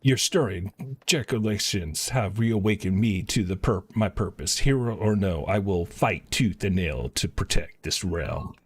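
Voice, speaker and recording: A man's voice: sits low, a little slow, breathy edge. A man casually commentating on a game live stream and reading dialogue aloud in an offhand, slangy way.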